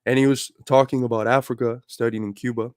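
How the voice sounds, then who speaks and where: A man's voice: medium pitch, level tone, fast, clean tone, no room sound, studio quality. Earnest man livestreaming, under the weather. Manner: earnest, building to emphatic speech. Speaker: livestream host. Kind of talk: casual livestream talk.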